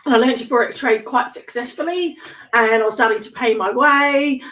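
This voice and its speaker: Woman guest, higher voice, slightly hoarse, chatty with ums, sits back from the mic. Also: normal speed, a bit bright, distant mic, low-quality recording. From Australia. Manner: chatty, laughs often, many ums. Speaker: interview guest, entrepreneur. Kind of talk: casual video-call interview.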